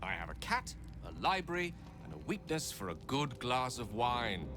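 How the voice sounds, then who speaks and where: A man's voice: mid-range voice, sing-song, normal speed, natural voice. A man voicing an erudite, genial wizard in a video game, speaking in wordy, theatrical and somewhat pompous phrases. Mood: boastful, genial. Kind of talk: video game character acting.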